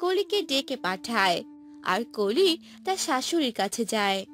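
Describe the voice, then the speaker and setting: A woman's voice: on the high side, very animated, unhurried, a bit bright, soft background music. A woman narrating a scripted cartoon story as its storyteller.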